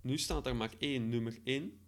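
A man giving an informal spoken software tutorial, hesitant as he thinks aloud while demonstrating. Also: mid-range voice, normal speed. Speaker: podcast host demonstrating software. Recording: echoey room.

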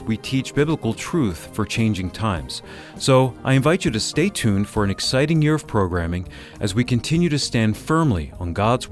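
An American man announces a radio program over faint guitar music. His mid-pitched voice moves in an animated, varied melody as he reads scripted introductions and listener information. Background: soft guitar music.

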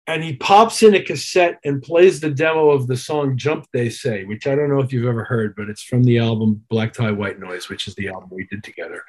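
Husky-voiced man in interview. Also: fairly high pitch. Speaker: musician guest, touring keyboard player. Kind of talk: interview chat, telling career anecdotes.

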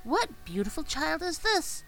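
A woman voicing a group of small male dwarfs with squeaky, startled voices. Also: expressive, fairly quick, bright, forceful tone, faint music behind, rough recording. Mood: astonished.

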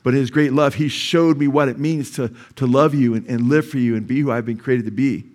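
A man's voice: medium pitch, steady tone, brisk, a little mellow. A male pastor with a Californian accent preaching a sermon to a church congregation. He explains word meanings patiently, then builds to emphatic peaks and calls out for amens. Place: church sanctuary.

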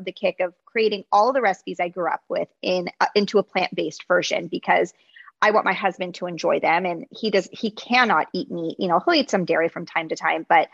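A woman's voice: medium pitch, fairly bright tone, no room sound, lo-fi sound. A woman host, a native Greek speaker from the United States, talking in a rambling, conversational way with many restarts. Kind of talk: conversational interview.

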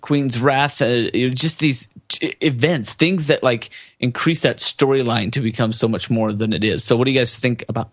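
A man's voice: medium pitch, normal speed, hardly any pauses, dry recording, studio quality. A young man hosting a casual podcast, talking in an upbeat, informal way. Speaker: podcast host. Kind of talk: casual podcast chat and news rundown.

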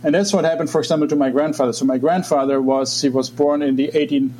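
Halting German-accented man in his forties. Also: a little high, fairly even intonation, mellow, a little hiss or hum. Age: 40s. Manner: thoughtful, halting, many restarts and fillers. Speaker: guest researcher. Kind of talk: interview talk.

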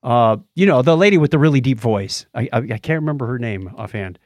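A man's voice: mid-range voice, expressive, a little fast, darkish tone, no echo. A middle-aged man chatting casually and conversationally as a podcast co-host. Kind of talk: casual chat.

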